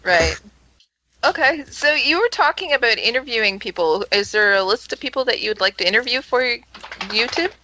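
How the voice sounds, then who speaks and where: A woman's voice: fairly high pitch, expressive, brisk, bright, forceful tone, slight background noise, poor audio. A woman co-hosting a conversational radio interview, asking guests questions. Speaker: podcast co-host and interviewer.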